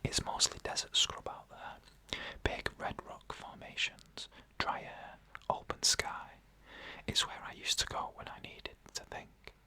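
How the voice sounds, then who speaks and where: A storyteller delivers scary ASMR narration entirely in a breathy whisper. The sound is bright and crisp-edged. Phrases come short and measured, with frequent pauses between them.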